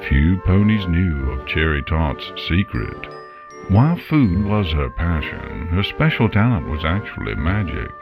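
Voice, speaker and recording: Low male storyteller, dramatic and varied in pitch, slightly muffled lo-fi audio. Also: very animated, unhurried. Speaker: guest narrator. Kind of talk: dramatic scripted story narration. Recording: dull lo-fi, music underneath. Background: background music.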